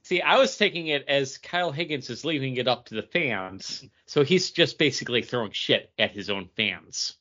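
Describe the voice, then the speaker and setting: A man's voice: medium pitch, expressive, normal speed, few pauses, bright, forceful tone, highs cut off. A man co-hosting a podcast with casual, emphatic and opinionated commentary. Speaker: podcast co-host.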